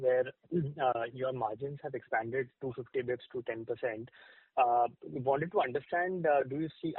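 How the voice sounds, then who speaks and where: A man asks measured questions as an analyst on a conference call. His voice is husky and mid-pitched, coming through a dry, narrow phone line. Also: no room sound. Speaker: financial analyst asking questions. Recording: band-limited phone line.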